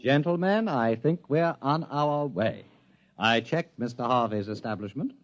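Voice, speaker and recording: A man's voice: medium pitch, lively intonation, unhurried, over music, close to an everyday voice. An actor playing a wry, sardonic male scientist in a 1950s radio drama. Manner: dry, sardonic. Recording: dull old radio broadcast.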